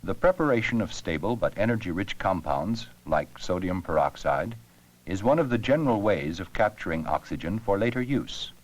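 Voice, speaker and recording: A man narrating an educational science film with scripted, measured, explanatory delivery. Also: low voice, expressive, rough recording.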